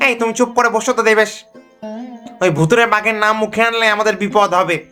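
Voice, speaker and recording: A man's voice: high voice, brisk, pushed and bright, soft background music. A man voicing a skeptical, nervy cartoon village fisherman with a questioning, exclamatory way of talking. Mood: incredulous. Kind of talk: animated cartoon dialogue.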